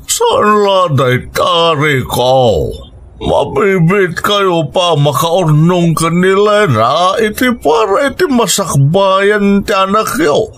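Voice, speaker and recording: An encouraging father in an Ilocano radio drama, acted by a man, advises his grown son. His voice sits high, swings widely in pitch and moves at a slow pace. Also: expressive, fairly bright tone, a little hiss or hum, heavily acted. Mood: advising.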